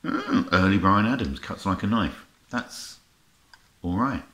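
A man's voice: low-pitched, animated, unhurried, fairly bright tone. A middle-aged man chatting casually and unscripted to camera as a vlogger, with muttered asides and exclamations as he browses. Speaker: vlogger showing a music haul. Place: indoor room lined with record shelves. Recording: roomy echo, faint noise, clicking handling sounds.

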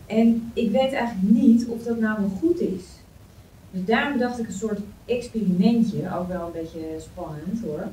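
A woman's voice: medium pitch, moderate pace, warm tone, close to an everyday voice. Woman reading a chatty idealistic young woman, roomy sound. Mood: earnest, tentative. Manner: informal, hesitant, earnest. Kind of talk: script table read. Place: meeting room. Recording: roomy, distant mic, faint noise.

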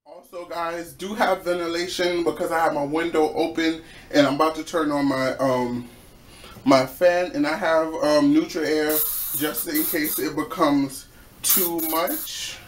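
A young man with a fairly high voice talks slowly and easily through a home craft project, tossing in playful asides. He is recorded on a camera mic in a small echoey bathroom, with a faint hiss of background noise. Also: fairly even intonation. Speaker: DIY vlogger. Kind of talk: casual how-to vlog.

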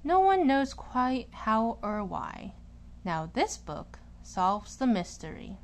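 A woman reads a picture book aloud in a fairly high voice. Her delivery is slow and deliberate, with big swings in pitch. She stresses words expressively, as a storyteller does for young children. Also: sing-song, slight background noise.

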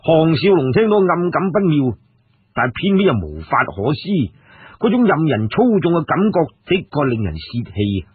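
A man's voice: on the high side, sing-song, moderate pace, slightly rough, dry recording. A man narrating a story in Hong Kong Cantonese, with colloquial asides, like a storyteller talking to listeners. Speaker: audiobook storyteller. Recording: dry, narrow phone-like radio sound.

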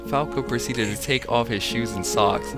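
A man's voice: medium pitch, moderate pace, loud music behind the voice. A man reading fan fiction aloud on a voice call, breaking off into casual, sardonic banter. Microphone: online voice call.

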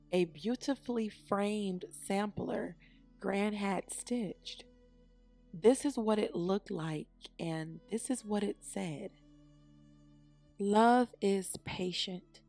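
A woman reads a children's storybook at a very slow pace. Her phrasing is deliberate and expressive, with music softly behind her. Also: medium pitch, gravelly. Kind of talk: read-aloud scripted narration.

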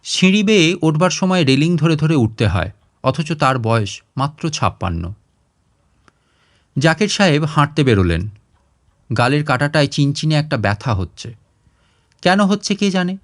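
A man narrating a literary short story in an expressive audiobook style. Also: a little high, moderate pace, long pauses.

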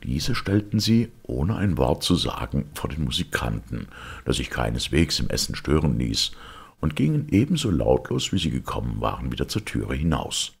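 A man narrating a fairy tale from a script in an expressive, dramatic way, with a gravelly, husky voice. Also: very deep voice, moderate pace.

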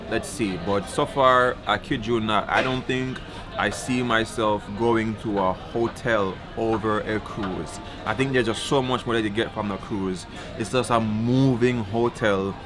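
Young man, low, chatty vlog. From Jamaica. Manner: relaxed, chatty, food-tasting commentary. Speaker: vlogger. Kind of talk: casual travel vlog. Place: cruise ship buffet dining room, indoors. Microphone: camera held at arm's length.

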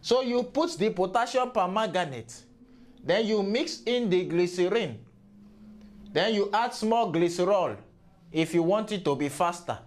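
A man's voice: sits high, lively intonation, measured pace. A Nigerian-accented man playing a mock chemistry professor who lectures formally and scorns gullible fools.

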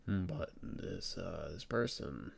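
A man playing a shy young best friend in an ASMR boyfriend roleplay, speaking softly and haltingly with stammers, in a very deep, gravelly voice. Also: expressive, a little hiss or hum, full character voice. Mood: tender, admiring. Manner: hesitant, halting, stammering, soft-spoken.

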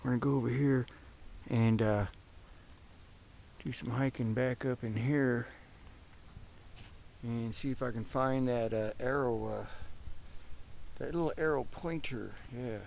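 A middle-aged man talking casually to his camera outdoors on a hike, in an easygoing, halting way. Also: medium pitch, fairly even intonation, vocal fry, a little mellow. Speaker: hiker filming a vlog. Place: open rocky desert, outdoors. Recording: outdoor camera mic with faint noise.

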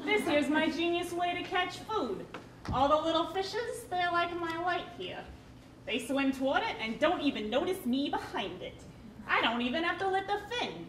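A woman's voice: extremely high pitch, very animated, moderate pace. Sly, grumpy puppet anglerfish, female actor, heard from afar in a noisy room. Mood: brash, grumpy. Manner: brash, sarcastic. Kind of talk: live puppet show. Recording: roomy, distant, noisy theatre recording.

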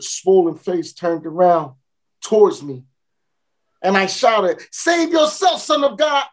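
A scornful street fruit seller, as a woman performs her. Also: lowish voice. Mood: shaken. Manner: folksy, dramatic, with repeated builds and sudden shouts. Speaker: dramatic monologue performer. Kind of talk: theatrical spoken-word monologue.

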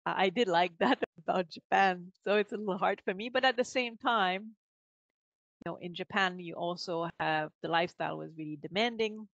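A woman's voice: on the low side, moderate pace, gravelly, a bit bright, dry recording, dull audio. A middle-aged woman with a French Canadian accent, chatting as a guest on a video call and laughing often between filler words. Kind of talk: casual interview conversation. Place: home office with bookshelves. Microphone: podcast mic on video call.

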